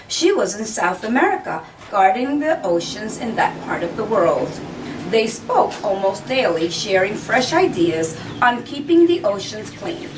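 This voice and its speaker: A woman reads a children's story aloud with deliberate phrasing. Her mid-range voice rises and falls expressively. She sounds a little distant from the microphone, with faint noise behind her. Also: medium pitch. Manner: deliberate, pauses to point out the pictures. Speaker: children's author reading own picture book aloud.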